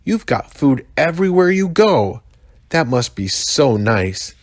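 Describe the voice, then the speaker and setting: A man voicing a tiny, polite female bush baby in a children's story, earnest and gently instructive. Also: medium pitch, very animated, measured pace, no echo, studio quality, pitched above the performer's own voice. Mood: wistful, earnest.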